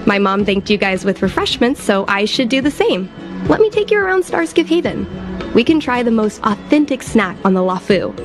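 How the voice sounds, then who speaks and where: A woman's voice: a little high, very animated, moderate pace, over music. An actress voicing a spirited, headstrong young woman who longs to fly, in a chatty, eager video game style. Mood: cheerful. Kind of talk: video game character voice acting.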